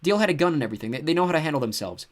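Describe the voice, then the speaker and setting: A man puts on a guilt-ridden boy of about ten. He stammers and sniffles, pitched high above the man's own voice and often loud. His pitch swings widely as he talks. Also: animated, very fast, natural voice. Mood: upset.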